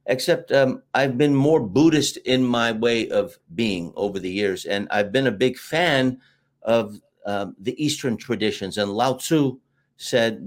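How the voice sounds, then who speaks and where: A middle-aged American man, a marketing teacher talking at length as an interview guest, in an expansive storytelling way with fillers. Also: on the low side. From United States. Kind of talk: casual interview talk.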